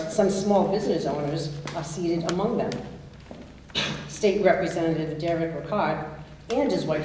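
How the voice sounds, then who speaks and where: A woman's voice: on the low side, warm tone. A woman reading stage directions as scripted narration. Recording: echoey hall from far off, faint noise.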